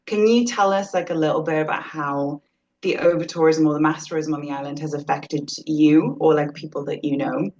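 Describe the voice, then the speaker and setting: A young woman hosts a podcast with a very low voice for a woman. She is chatty and leans on "like" as she asks her questions. The audio is dull and lo-fi. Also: fairly even intonation, brisk, a little mellow. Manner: chatty, fills with "like" a lot. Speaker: podcast host. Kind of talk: conversational interview.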